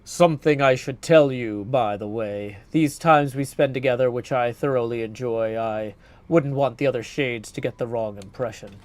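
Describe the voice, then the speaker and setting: A man's voice: medium pitch, moderate pace, close to an everyday voice. A man voicing a hearty, seasoned hero's shade in a video game, chatty and old-fashioned in his phrasing. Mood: candid, hesitant.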